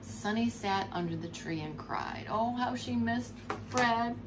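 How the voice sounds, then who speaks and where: Preschool teacher lady doing storytime, highish sing-songy expressive voice, bit of a noisy home recording. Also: measured pace. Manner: expressive, explains words to children. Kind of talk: storybook read-aloud for young children. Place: furnished room at home, indoors. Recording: clear background noise.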